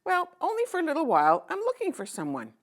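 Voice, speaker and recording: A woman voicing a kind, weary king disguised as a merchant in a children's storybook, speaking in a gentle, fatherly manner. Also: very high-pitched, wildly swooping pitch, fast talker, pitched above the performer's own voice, exaggerated voice. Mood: kindly. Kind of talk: children's storybook read-aloud.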